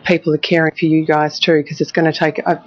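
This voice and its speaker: A woman's voice: low-pitched, flat delivery, quick pace. Australian woman interviewer, dull band-limited sound. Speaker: television journalist interviewing. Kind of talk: broadcast news interview.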